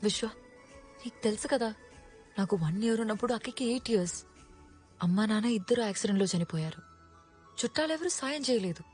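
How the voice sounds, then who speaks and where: An actress playing a timid but sharp young working woman in naturalistic film dialogue. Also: mid-range voice, animated, fast talker, long pauses, faint music behind, lightly acted. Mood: earnest. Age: young adult.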